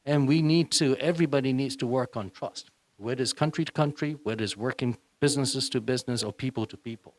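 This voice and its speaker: A man's voice: medium pitch, fast, few pauses. A man from Hong Kong, a senior executive, giving panel remarks with hesitant starts and emphatic repetition.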